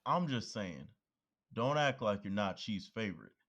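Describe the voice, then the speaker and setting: A man's voice: fairly low pitch, sing-song, measured pace, a bit bright, muffled recording, exaggerated voice. An actor playing a laid-back young male police officer who teases his best friend in casual talk. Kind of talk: audio drama acting.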